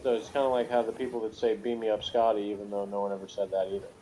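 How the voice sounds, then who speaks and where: A man's voice: lowish voice, flat delivery, racing, dark tone, slight background noise, low-quality recording. Casual guy in rambling review chat. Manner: rambling, jokey, pop-culture asides. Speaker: podcast reviewer.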